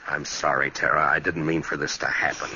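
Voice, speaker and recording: Casting: stern, protective western lawman, low and plainspoken, old muffled radio drama sound. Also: level tone. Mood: serious, subdued. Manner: halting, plainspoken. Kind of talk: 1950s radio western acting. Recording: muffled, noisy 1950s radio recording.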